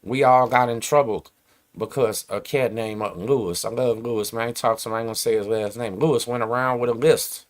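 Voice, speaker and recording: A man's voice: mid-range voice, fairly even intonation, brisk. A man, hosting his channel and ranting to camera in emphatic streetwise slang. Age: 40s. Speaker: host. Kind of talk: casual rant to camera.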